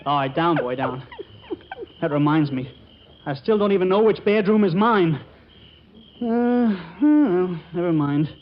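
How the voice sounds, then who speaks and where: An actor playing a flustered, hapless young suitor, stammering and wisecracking in 1940s radio comedy style. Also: male voice, sits high, expressive, a little slow, pauses often. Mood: glum. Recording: noisy, muffled 1940s radio broadcast.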